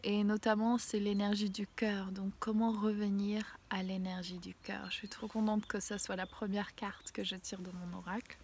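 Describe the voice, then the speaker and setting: A woman with a head cold doing a casual spiritual card reading outdoors. She pauses often with fillers and sometimes drops to a hushed near-whisper. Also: medium pitch, flat delivery, slight background noise. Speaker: oracle card reader. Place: outdoors in a small mossy spot with violets.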